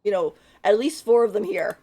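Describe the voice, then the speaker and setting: A woman's voice: medium pitch, very animated, moderate pace, warm tone, slightly rough. A woman streaming a game, chatting casually and rambling, laughing often as she talks. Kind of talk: casual live-stream chat.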